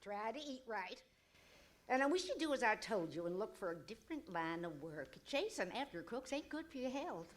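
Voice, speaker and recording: A woman's voice: fairly high pitch, big pitch swings, normal speed. An actress playing a bold, fussing older mother in an old time radio western comedy. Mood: motherly, nagging.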